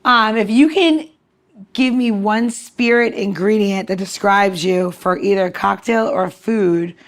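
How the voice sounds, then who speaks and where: A woman's voice: medium pitch, a little slow. A woman co-hosting a podcast as its bartender, chatting casually in an informal, jokey way. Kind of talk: casual chat.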